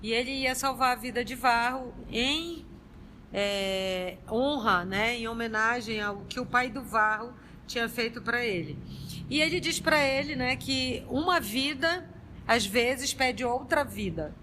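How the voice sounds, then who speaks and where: A Brazilian woman informally retelling a story to a study group, halting as she searches for words. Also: a little high, sharp tone, a little hiss or hum. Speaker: study group member giving a recap.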